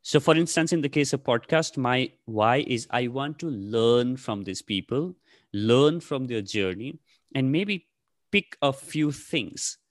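A man's voice: mid-range voice, animated, measured pace, dry recording, clean studio sound. A man in his thirties from Nepal, whose first language is Nepali, answering interview questions casually with many fillers and restarts. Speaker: podcast host, guest here.

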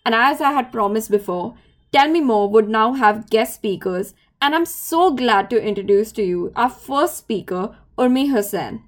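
Higher-pitched woman hosting a podcast. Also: expressive, normal speed. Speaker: podcast host. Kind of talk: scripted intro, then interview.

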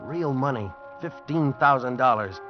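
A man's voice: mid-range voice, expressive, measured pace, over music, natural voice. An actor playing a desperate American man on the run, telling and acting out his ordeal intensely in an old radio drama. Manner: intense, dramatic, agitated pleading. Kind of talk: 1940s-50s radio drama narration and acting. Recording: muffled old radio recording.

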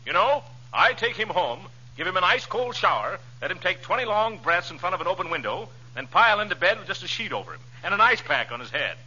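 An actor playing a pompous, teasing neighbor in a 1940s radio comedy, husky and chuckling, on a lo-fi old broadcast recording. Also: male voice, high-pitched, sing-song, fast, hardly any pauses, bright, forceful tone, full character voice. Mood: gloating. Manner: pompous, needling, with a gloating chuckle.

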